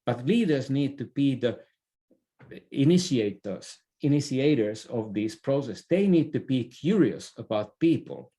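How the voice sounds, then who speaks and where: Male podcast guest, soft-edged dark timbre, conversational expert explaining. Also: medium pitch, lively intonation, a little slow. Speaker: interview guest, leadership expert.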